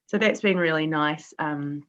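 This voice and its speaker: A woman's voice: sits low, unhurried, fairly bright tone. New Zealand woman on dull call audio. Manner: cheerful, chatty, self-deprecating. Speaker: quilt-along participant. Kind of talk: casual chat on a video call. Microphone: video call.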